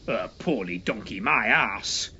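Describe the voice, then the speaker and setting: Storybook role: blustering uncle, brusque and impatient, performed by a man, very animated, noisy recording. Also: on the low side, slow, muffled recording, voice pushed down, full character voice. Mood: alarmed. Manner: brusque, exclamatory.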